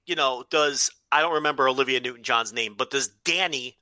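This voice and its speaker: A man's voice: medium pitch, brisk, sharp tone, dry recording. A man co-hosting a casual comedy chat about films, joking and swearing as he rambles along. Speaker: podcast co-host.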